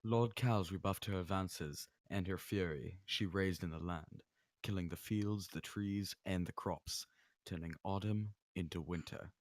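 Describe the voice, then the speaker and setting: A man at a table read playing a grave knight, solemn and portentous, in the manner of one telling dark legends. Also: low-pitched, unhurried, no room sound, studio quality. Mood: solemn, ominous.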